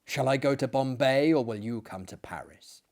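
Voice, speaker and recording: A man voicing a gentle, anxious artist in a gothic story, speaking to his friend in an earnest, grave, confiding way. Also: medium pitch, moderate pace, lightly acted. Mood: restless, anxious.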